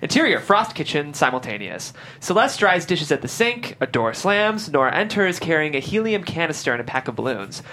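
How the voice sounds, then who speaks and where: Low-voiced woman narrating a script, very expressive. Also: fairly quick, hardly any pauses, slight background noise. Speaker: stage direction narrator. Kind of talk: scripted narration at a table read.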